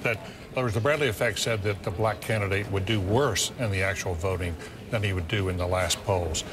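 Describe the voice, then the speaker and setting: A man in his sixties analyzing polls on a studio panel in a measured, explanatory way. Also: deep voice, a crowd in the background. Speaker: political analyst. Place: television news studio.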